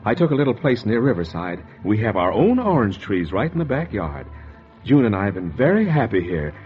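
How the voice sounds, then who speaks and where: An actor playing an anxious, guilty husband hiding a murder, with a hesitant, stammering American delivery in 1940s radio noir style. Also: male voice, lowish voice, big pitch swings, brisk, faint music behind. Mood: uneasy calm. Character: anxious guilty husband, secretive murderer, narrating his crime. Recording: dull, muffled vintage 1940s radio recording.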